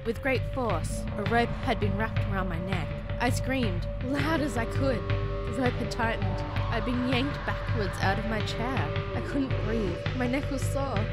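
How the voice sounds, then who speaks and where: Female horror narrator, mid-range pitch, suspenseful and deliberate, reading over prominent music. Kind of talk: dramatic scripted horror story narration. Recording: music behind the voice. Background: background music.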